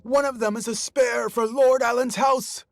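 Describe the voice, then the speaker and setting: A man playing a frightened, excitable housekeeper in a video game, exclaiming with melodramatic alarm. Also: very high-pitched, moderate pace, dry recording. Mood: fearful, flustered. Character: frightened, excitable male housekeeper of a lord's estate. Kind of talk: theatrical game cutscene acting.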